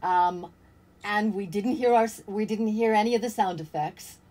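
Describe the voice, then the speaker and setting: A woman's voice: medium pitch, moderate pace, a little mellow. A woman giving conversational answers as a guest cast member in a video-call interview.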